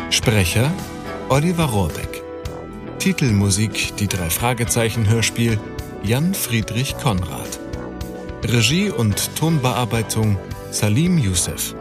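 A man reading scripted closing credits as an announcer, in a measured, orderly way. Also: lowish voice.

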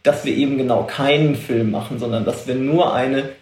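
A man's voice: mid-range voice, fairly even intonation, moderate pace, a little mellow, roomy sound. A man explaining production work informally in an interview, hesitant and correcting himself as he goes. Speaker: visual production expert.

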